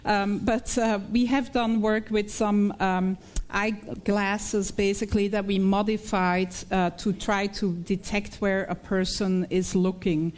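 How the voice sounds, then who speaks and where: A middle-aged woman with a Belgian accent takes questions after a lecture. She thinks aloud as she talks, pausing on fillers and often breaking into short laughs, and her voice sits at an ordinary middle pitch. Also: little pitch movement, darkish tone. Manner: thoughtful, hesitant with many fillers, laughs often. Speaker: invited speaker, researcher. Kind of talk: answering audience questions after a talk.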